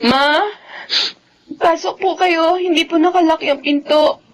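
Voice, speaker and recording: High-voiced, anguished young Filipina. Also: female voice, steady tone, unhurried, slight background noise. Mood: weeping, dejected. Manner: pleading, emotional. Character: anguished young pregnant woman abandoned by her boyfriend. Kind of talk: Filipino radio drama acting.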